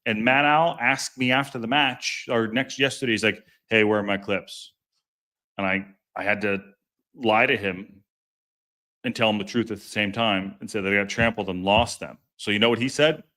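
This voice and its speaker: Deep strong voiced guy, lowish pitch, rambling on a live podcast stream, chatting w viewers. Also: steady tone, moderate pace, pushed and bright. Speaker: podcast host.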